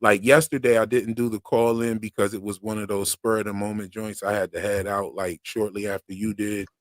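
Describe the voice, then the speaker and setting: A man hosting a live stream, chatting casually with slang and long rambles, his voice going hoarse. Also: lowish voice, flat delivery, fairly quick, no echo, clean studio sound. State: losing his voice. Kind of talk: casual fan commentary.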